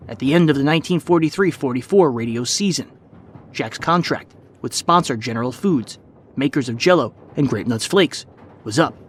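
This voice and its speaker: A man's voice: medium pitch, slight background noise. Man narrating a scripted audio documentary. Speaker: podcast narrator.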